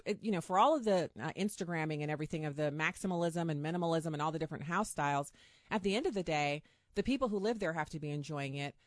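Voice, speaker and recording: Middle-aged female podcast host, fairly low register, casual conversational delivery, very dry studio-like sound. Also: dry recording. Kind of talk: casual interview chat.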